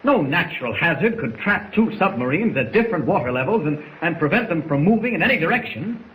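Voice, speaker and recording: An actor playing a brave, determined reporter hero in a 1940s radio drama, urgent and persuasive. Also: male voice, fairly high pitch, sing-song, a little fast, fairly bright tone. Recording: noisy 1940s radio recording.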